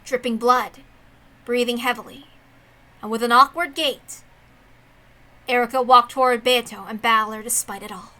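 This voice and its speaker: High bright woman reading a dramatic story. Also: steady tone, moderate pace, pauses often. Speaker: narrator, reader of a visual novel. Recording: music behind the voice. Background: background music.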